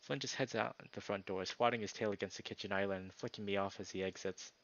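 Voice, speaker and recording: A man narrating a visual novel aloud as a streamer, with informal, expressive asides. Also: on the low side, fast, highs cut off, a little hiss or hum.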